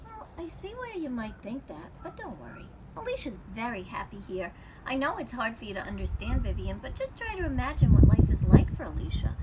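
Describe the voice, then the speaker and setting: Woman as a patient, animated storybook schoolteacher. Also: on the high side, brisk. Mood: gentle, earnest. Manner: reassuring, explanatory, encouraging. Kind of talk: storybook read-aloud character voice. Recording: loud background noise.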